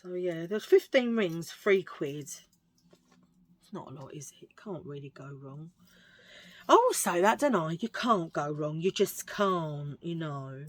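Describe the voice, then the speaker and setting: A husky, low-pitched woman talks through her charity-shop finds in a loose, rambling way, full of fillers and jokes at her own expense. She sounds middle-aged and casual, as if chatting to friends at home. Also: animated, takes it slowly. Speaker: vlogger showing a thrift haul. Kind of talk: casual chatty haul video.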